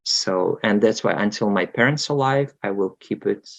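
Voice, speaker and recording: A man's voice: fairly low pitch, moderate pace, gravelly. A man in his thirties from Moldova with a Russian accent, speaking hesitantly with many fillers as an interview guest. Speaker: guest researcher. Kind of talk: casual interview talk. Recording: dull lo-fi sound.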